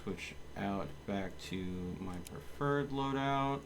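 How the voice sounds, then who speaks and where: A man's voice: medium pitch, slow, a little hiss or hum. A man giving casual live game commentary, thinking aloud and talking to his viewers. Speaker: video game live streamer.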